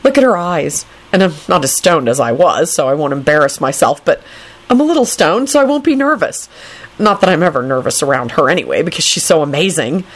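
A woman voicing an excitable, cheeky and lovestruck young man in a story reading, impulsive and gushing. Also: on the high side, a little fast. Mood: dreamy, smitten.

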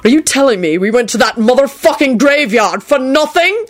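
A woman's voice: on the low side, lively intonation, normal speed. A woman voicing an irritable, sarcastic, foul-mouthed man in a story reading, indignant and sardonic. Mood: indignant shouting.

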